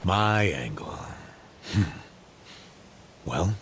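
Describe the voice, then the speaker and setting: Gravelly old cop near retirement, low voice, teasing and chuckly, swings his tone all over, game cutscene with music. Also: sing-song, very slow, slight background noise, full character voice. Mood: prying. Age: older. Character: aging male detective near retirement, sly and persistent. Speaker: detective. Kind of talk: dubbed game cutscene acting.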